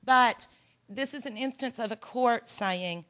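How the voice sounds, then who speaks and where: A woman's voice: a little high, measured pace, fairly bright tone. A woman from Texas with a Texan accent, giving an earnest, encouraging workshop presentation with a folksy manner. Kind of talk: conference presentation. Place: conference room.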